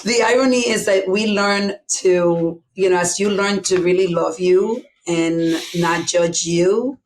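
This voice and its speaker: A middle-aged woman from the Dominican Republic with a Dominican Spanish accent and a lowish voice. She is an interview guest, open and reflective, with frequent fillers as she tells her story. A faint low rumble sits under the recording. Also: a little slow, poor audio. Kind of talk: candid personal storytelling.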